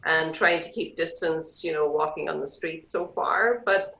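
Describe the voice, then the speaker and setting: A woman's voice: deep voice, measured pace. A middle-aged woman with an Irish accent, a real estate agent talking chattily and loosely as a guest on a video call. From Ireland. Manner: chatty, relaxed, hesitant with fillers. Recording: roomy, dull video call audio.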